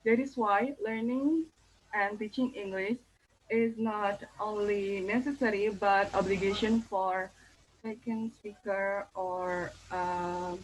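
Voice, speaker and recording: Young woman, Indonesian accent, thesis defense talk, slow pace, halting with fillers, faint background noise. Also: mid-range voice, steady tone, rough recording. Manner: careful, hesitant, many fillers and restarts. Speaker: thesis candidate.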